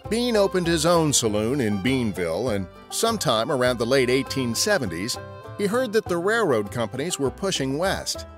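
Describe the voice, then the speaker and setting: Animated male history storyteller. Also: mid-range voice, moderate pace, music in the background. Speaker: podcast narrator. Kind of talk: scripted historical storytelling narration.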